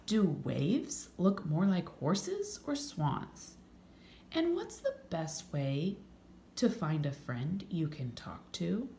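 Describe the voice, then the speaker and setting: An earnest storybook fox, read by a woman, wonders aloud in a thoughtful, questioning way. The delivery is unhurried and expressive, with some room echo. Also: on the low side, creaky, slight background noise, full character voice. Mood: wondering. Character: earnest, inquisitive male fox, wistful and searching.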